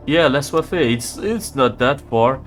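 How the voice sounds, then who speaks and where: A man's voice: medium pitch, expressive, unhurried, faint music behind, pitched above the performer's own voice, close to an everyday voice. A man playing a crude, scruffy young street drunk who swears, scoffs and rambles. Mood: scoffing.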